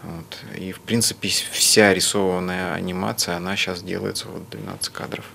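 A man in his thirties, an animation teacher, explaining haltingly in an interview indoors. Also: deep voice, level tone, creaky, sharp tone. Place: indoor room, on-camera interview. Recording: faint background noise.

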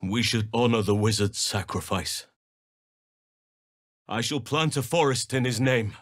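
An actor playing a big, earnest druid healer in a video game, solemn and gentle, with measured, formal, old-fashioned phrasing. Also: male voice, medium pitch, no echo, studio quality, close to an everyday voice. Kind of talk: video game character voice acting.

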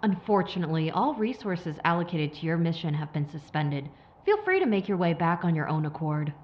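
A woman's voice: fairly low pitch, sing-song, fairly quick, lo-fi sound. A woman playing a sly, menacing corporate operative in an audio drama, taunting with mock sympathy. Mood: smug, cold. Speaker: villain.

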